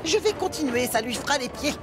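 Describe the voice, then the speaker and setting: A woman voicing a cheeky, impulsive young steam locomotive in a children's cartoon dub, expressive and childlike. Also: high voice, brisk, over loud music. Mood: stubborn, cross. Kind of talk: animated children's series dub.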